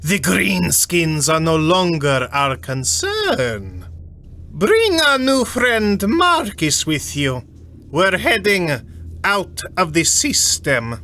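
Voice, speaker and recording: Inquisitor voiced by a man: raised pitch, drawn-out formal delivery, slyly amused authority, tinny speaker filter. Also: big pitch swings, bright, forceful tone, full character voice. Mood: commanding, jovial. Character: genial, theatrical, commanding male Inquisitor, slyly amused. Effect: tinny vox grille radio filter. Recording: tinny radio speaker effect, some noise.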